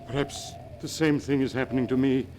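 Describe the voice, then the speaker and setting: A man's voice: mid-range voice, steady tone, a little fast, lightly acted. Haunted middle-aged uncle played by a man, noisy old recording. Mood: haunted, fearful. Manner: grave, melodramatic. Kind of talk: 1940s radio acting. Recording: old radio recording, clear noise.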